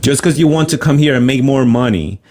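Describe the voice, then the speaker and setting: A man's voice: mid-range voice, brisk, darkish tone. A Venezuelan-born man hosting a heated livestream debate, speaking firmly and insistently and cutting in to defend his views. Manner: firm, insistent, talks over the other speaker. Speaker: host.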